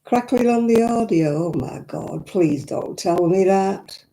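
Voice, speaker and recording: An older woman talks casually to her live viewers, greeting them as she rambles on. Her voice is croaky and very dark and mellow in tone. The audio from her simple mic has a crackle to it. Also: mid-range voice, animated, measured pace. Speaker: crafting livestream host. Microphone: own simple mic, live stream.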